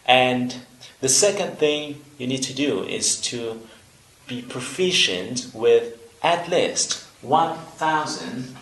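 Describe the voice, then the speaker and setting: A man from Vietnam with a Vietnamese accent, a native Vietnamese speaker, teaching an English lesson in a deliberate, explanatory way with pauses between phrases. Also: mid-range voice, animated, a bit bright, a little hiss or hum. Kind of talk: instructional video lesson. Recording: roomy, echoing room sound.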